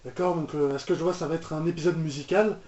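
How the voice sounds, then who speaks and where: A man's voice: on the high side, steady tone, quick pace, loud music behind the voice. A man giving casual, off-the-cuff reaction commentary, hesitant, repeating words and trailing off. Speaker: reaction video host. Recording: echoey room, muffled lo-fi microphone.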